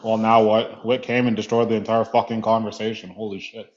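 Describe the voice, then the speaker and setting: A man's voice: lowish voice, level tone, fairly quick, darkish tone. Canadian guy trash talking his friends in a voice chat, kinda muffled lo-fi audio. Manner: profane joking banter. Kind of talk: casual group voice chat.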